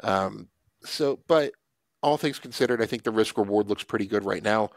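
Low, gravelly man in his forties, measured host voice, dry headset sound. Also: no echo. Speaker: investing show host. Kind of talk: casual video call discussion. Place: home office. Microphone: headset mic on video call.

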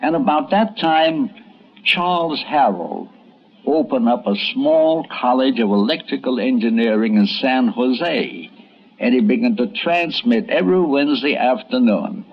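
A man's voice: medium pitch, lively intonation, a little slow, breathy edge. A man narrating a documentary in a conversational, storytelling way. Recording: dull vintage audio with music behind.

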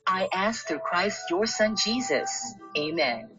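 A woman's voice: fairly low pitch, big pitch swings, takes it slowly. A woman reading a script as a radio announcer, heard through a radio speaker. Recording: muffled radio speaker re-recorded on camera. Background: music.

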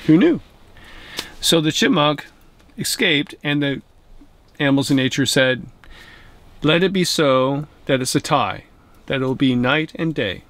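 A man's voice: a little high, unhurried, long pauses. A middle-aged man telling a rambling bedtime story in a tent and talking about a hiking trail to a handheld camera. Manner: rambling, chuckling, animated storyteller. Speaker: camping vlogger. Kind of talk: casual storytelling and trail commentary. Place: inside a tent at night, then outdoors on a trail. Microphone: handheld camera at arm's length.

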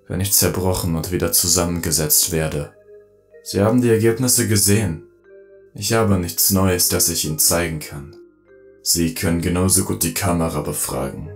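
A man playing a bitter, enslaved robot with a measured, accusing manner in a scripted audio drama. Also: low voice. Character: bitter, enslaved male robot turning vengeful.

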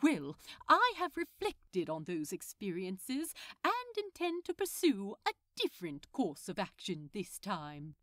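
Storybook male supervillain read by a woman: pompous, courteous, slow-paced, highly expressive, articulate. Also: dry recording, studio quality. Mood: smug, lecturing. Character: prim, nefarious male supervillain, politely smug and fussy. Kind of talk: fan fiction audiobook character voice.

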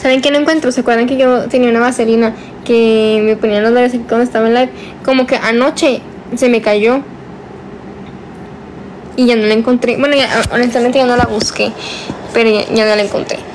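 A woman's voice: on the high side, fairly even intonation, brisk, slight background noise, poor audio. A nineteen-year-old woman, chatting casually and teasingly on a phone livestream from her bedroom at night, drawing out some words. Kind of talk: casual livestream chat, reading viewer comments. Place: small dim bedroom, indoors at night. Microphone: phone held close, selfie framing.

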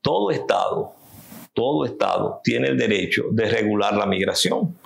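A man's voice: animated, normal speed, gravelly, echoey room. A Dominican man in his fifties, speaking Spanish with a Dominican accent as a political guest in a seated interview, arguing emphatically in a living room. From Dominican Republic. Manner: emphatic, gesturing, rising in pitch when stressing points.

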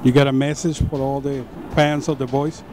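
A man's voice: medium pitch, level tone, moderate pace, heavy background noise. A man asking short street interview questions outdoors in non-native phrasing. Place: outdoors in an audition queue. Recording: loud music behind, outdoor ambience.

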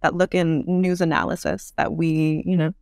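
A woman in a thoughtful interview conversation, with a noticeably creaky, gravelly edge to her voice. She explains carefully and stresses points by repeating them. The sound is dry, with very little room in it. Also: lowish voice, little pitch movement, moderate pace, darkish tone, no echo. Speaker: podcast guest, futurist author.

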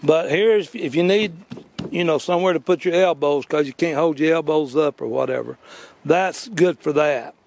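A man's voice: fairly high pitch, normal speed, creaky, a little mellow, dry recording. An older man giving a rambling, folksy demo in a yard, correcting himself as he goes. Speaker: vlog host. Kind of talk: casual outdoor demo. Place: outdoors on a grassy yard.

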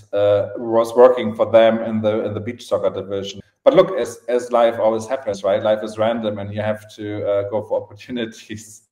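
A man with a German accent telling his story casually as a podcast guest, in halting phrases with repeated words. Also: fairly low pitch, dark tone. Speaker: podcast guest, events entrepreneur. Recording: roomy, echoing podcast audio.

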